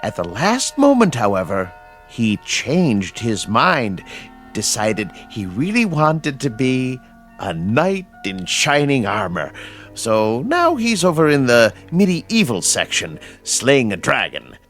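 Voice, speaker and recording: A man's voice: medium pitch, wildly swooping pitch, slow pace, a bit bright, faint music behind, heavily acted. A man acting in a radio drama as a genteel, fussy, unflappable caretaker with courteous, old-fashioned manners. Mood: genteel, chatty.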